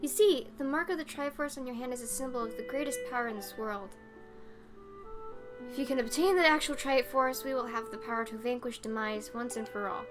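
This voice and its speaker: A woman voicing an earnest, solemn young goddess-reborn heroine in a video game, measured and slightly halting. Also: on the high side, lightly acted. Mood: earnest explaining. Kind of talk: video game dialogue read-aloud. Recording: music behind the voice.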